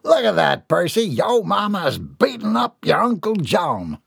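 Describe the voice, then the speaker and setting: A man voicing a jolly, rough country husband and father with a slow, easygoing drawl in an audiobook. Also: high-pitched, very animated, fairly bright tone, no room sound, pitched above the performer's own voice, exaggerated voice. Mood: amused.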